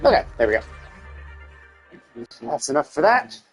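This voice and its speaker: A man's voice: a little high, big pitch swings, moderate pace. A man thinking aloud in a rambling, casual way as he streams a video game. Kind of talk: casual livestream gameplay chat. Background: music.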